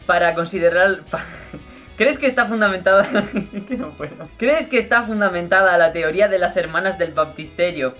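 A man's voice: high voice, normal speed, fairly bright tone, faint music behind. A young Spanish man with a light Murcian accent, chatting playfully while he answers viewer questions in his bedroom. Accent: Murcian, mostly held back on camera. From Murcia, Spain. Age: young adult. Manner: chatty, playful, gestures a lot, laughs easily. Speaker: YouTuber. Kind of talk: casual Q&A vlog. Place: bedroom, indoors.

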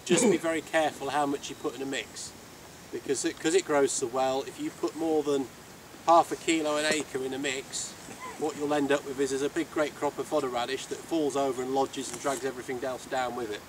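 A man's voice: medium pitch, steady tone, a little fast. A middle-aged British man giving an informal, matter-of-fact explanatory talk out in an open field. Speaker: seed seller giving a farm walk talk. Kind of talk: informal outdoor demonstration talk. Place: outdoors in an open farm field. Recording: distant mic outdoors, clear ambient noise.

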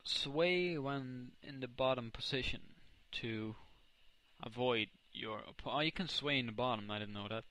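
A young man from the Netherlands with a Dutch accent, casually commentating his own video game match, excitable and often correcting himself. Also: mid-range voice, moderate pace. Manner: excitable, self-correcting, reacts loudly to plays. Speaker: gaming commentator. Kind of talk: casual let's play commentary.

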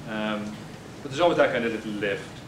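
An older man giving a poetry reading in a bookstore, hesitant and halting between poems, recorded from a distance. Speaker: poet. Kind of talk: poetry reading with spoken asides. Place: bookstore, indoors, at a podium. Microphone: distant camera mic. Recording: echoey room, distant mic, background rumble.

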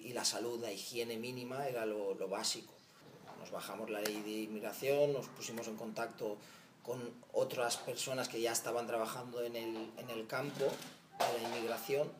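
A man in his thirties with a Spanish accent, recounting in a documentary interview how he started helping migrants, thoughtful and halting with restarts. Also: mid-range voice, reverberant, background noise. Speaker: volunteer founder. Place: outdoor patio of a house.

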